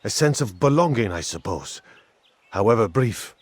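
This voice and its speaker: An actor playing a cornered, cynical informant in a video game, talking in a conspiratorial, resentful way. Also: male voice, fairly low pitch, animated, moderate pace, husky, dry recording. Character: cornered, cynical informant bargaining for his life. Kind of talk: video game cutscene acting.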